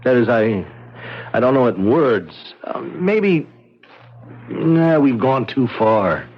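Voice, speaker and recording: An actor playing a jumpy, haunted scientist in a 1970s radio drama, husky and halting, repeating his words. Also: medium pitch, very animated, heavily acted. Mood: fearful, nervous. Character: jumpy, haunted male computer scientist, fearful and insistent. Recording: dull 1970s radio recording.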